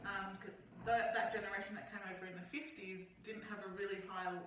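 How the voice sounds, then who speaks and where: Bright woman, echoey distant mic. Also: mid-range voice, flat delivery, brisk, slight background noise. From Australia. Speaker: guest. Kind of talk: casual conversational interview.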